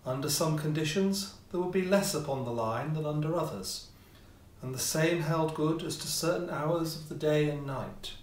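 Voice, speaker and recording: Echoey male storyteller, slightly high-pitched. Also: normal speed. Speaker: audiobook reader, amateur player. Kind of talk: scripted narration of a classic ghost story. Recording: roomy echo, distant mic, faint noise.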